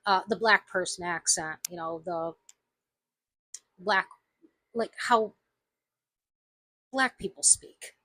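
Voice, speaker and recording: A woman's voice: fairly low pitch, slow, pauses often, bright, forceful tone. A woman with a slight Southern US accent, ranting indignantly to camera in a video of her own, emphatic and repeating her points. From United States. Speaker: vlogger. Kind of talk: angry opinion rant to camera.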